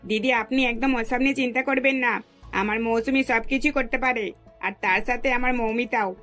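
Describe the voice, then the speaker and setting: A woman's voice: on the high side, steady tone, brisk, fairly bright tone, faint music behind, natural voice. A woman voicing an indulgent middle-aged mother in a cartoon, with a reassuring manner.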